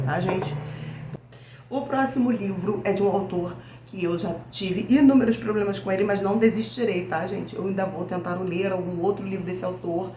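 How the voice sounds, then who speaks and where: A Brazilian woman with a low, hoarse voice, worn thin by a cold. She talks to her viewers in a chatty, casual way. Her voice carries in an echoey room with a faint hiss behind it. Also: a little mellow. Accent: Brazilian Portuguese. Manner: chatty, addresses viewers as "gente". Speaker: book channel host. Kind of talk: casual book review vlog.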